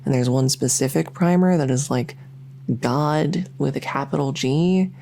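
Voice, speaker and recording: A woman's voice: extremely low pitch, measured pace, vocal fry, mellow, a little hiss or hum, heavily acted. A woman voicing a lonely, socially awkward vampire who rambles enthusiastically in an ASMR audio roleplay. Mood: nerdy enthusiasm. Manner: rambling, enthusiastic info-dumping, many fillers. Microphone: close ASMR microphone.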